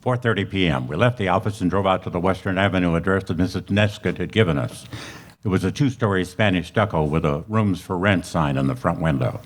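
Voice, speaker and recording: A man playing a stoic, businesslike police detective in an old radio drama, deadpan, clipped and polite. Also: low voice, brisk. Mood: matter-of-fact. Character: stoic, businesslike male police sergeant narrating his case. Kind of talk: 1950s radio detective drama narration and dialogue.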